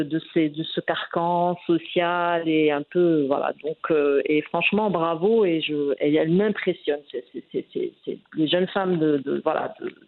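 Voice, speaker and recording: Woman in her forties, interview guest, halting speech full of fillers, phone-like narrow sound. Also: on the low side. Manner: hesitant, many fillers and restarts. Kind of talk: conversational interview.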